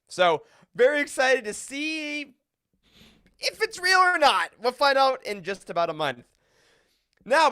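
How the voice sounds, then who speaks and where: A host of a fan roundtable, chatting casually in an animated, jokey way. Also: medium pitch. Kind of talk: casual chat, hosting.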